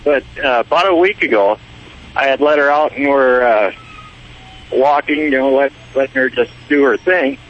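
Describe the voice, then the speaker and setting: A man's voice: mid-range voice, a bit bright. Hesitant male caller on a muffled line. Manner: halting, hesitant telling.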